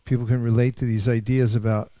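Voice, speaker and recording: A man leading a reflective group discussion in a thoughtful, halting way, often correcting himself as he goes. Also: medium pitch, level tone, warm tone, no room sound. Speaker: discussion leader at a scripture class.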